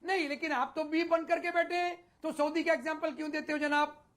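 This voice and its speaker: A man's voice: very high voice, flat delivery, brisk, fairly bright tone. An Indian man arguing as a panelist in a heated TV debate, combative, repeating himself and talking over others.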